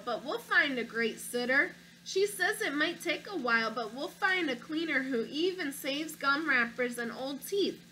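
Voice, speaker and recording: A woman teacher reading a picture book aloud to children, with an expressive storytelling delivery, stopping to ask her young listeners questions. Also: high voice, a little hiss or hum, low-quality recording.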